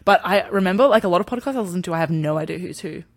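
Brief: Australian woman podcast co-host, lowish voice, loose rambling talk, swears freely. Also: animated, racing. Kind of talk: casual chat.

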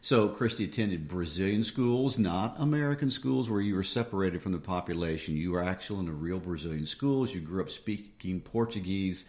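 A man hosting a casual book discussion, talking conversationally with light jokes and quick self-corrections. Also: sits low, animated, a little mellow. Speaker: podcast host.

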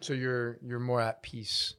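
A man hosts a casual podcast interview, asking questions in an easygoing, slangy manner. His voice sits at a mid pitch and is recorded dry and close, with no room sound. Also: completely flat delivery, unhurried, a bit bright, clean tone, dry recording. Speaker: podcast host.

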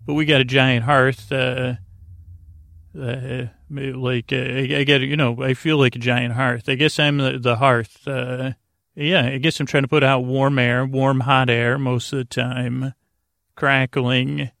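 A man with a creaky voice hosting a bedtime podcast, meandering and halting through a rambling monologue with pauses and tangents. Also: mid-range voice, level tone, a bit bright, dry recording, studio quality. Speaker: podcast host. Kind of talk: rambling bedtime monologue to help listeners sleep.

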